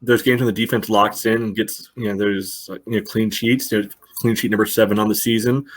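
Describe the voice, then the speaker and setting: A man co-hosting a podcast, analyzing soccer casually and haltingly, with frequent verbal padding. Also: lowish voice, flat delivery. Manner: halting, peppered with "you know". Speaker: podcast co-host.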